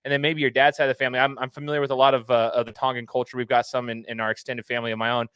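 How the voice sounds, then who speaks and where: A man from Idaho hosts a casual sports interview in a mid-range voice. He is chatty and animated and asks long, winding questions. The sound is dry and close. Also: medium pitch, racing, clear, resonant tone, no room sound. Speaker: podcast host.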